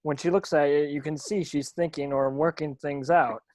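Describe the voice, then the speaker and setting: A man playing a grim, intense game warden in his forties at a script table read, grave and deliberate. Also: a little high, steady tone, darkish tone, dry recording, close to an everyday voice. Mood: grim, warning.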